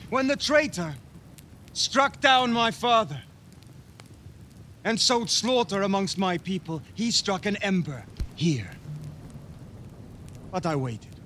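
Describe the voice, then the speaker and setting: An actor playing a vengeful young Viking war leader in a video game, grave and oratorical in delivery. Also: male voice, high voice, fairly bright tone, slight background noise, heavily acted. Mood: stirring, resolute. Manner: slow, solemn, oratorical delivery. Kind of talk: dramatic cinematic game acting.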